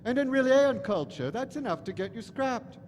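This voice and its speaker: An actor playing an eccentric alien mad professor, theatrical, gushing and disdainful, callous toward humans, in a live radio play. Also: male voice, extremely high pitch, lively intonation, moderate pace. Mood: airy, boastful. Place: large hall stage at a convention. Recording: echoey hall stage recording, faint noise.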